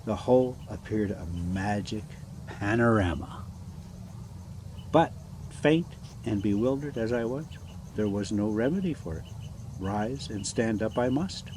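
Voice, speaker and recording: A man reading a classic adventure novel aloud as an amateur storyteller, in a halting, phrase-by-phrase way. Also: fairly low pitch. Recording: steady background noise.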